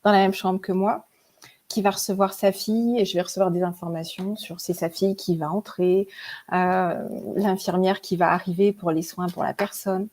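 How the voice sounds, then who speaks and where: A woman's voice: mid-range voice, steady tone, moderate pace, a little mellow. A woman in her forties, a guest telling her story in a chatty, hesitant way with many fillers and frequent laughs. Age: 40s. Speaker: guest, spiritual author and teacher. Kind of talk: casual interview storytelling.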